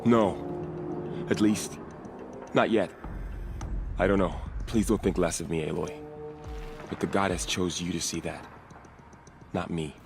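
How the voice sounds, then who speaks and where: A reserved young warrior in a game drama. His voice is deep, with a devout, earnest and slightly hesitant delivery. Music is clear behind him. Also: steady tone, a little slow, long pauses. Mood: hesitant, humble. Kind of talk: game cinematic acting.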